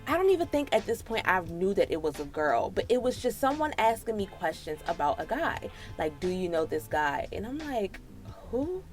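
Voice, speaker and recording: A woman in her late twenties with an American accent tells a personal story casually, in an animated, sassy, slangy way. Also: medium pitch, normal speed, faint music behind. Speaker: storytime vlogger.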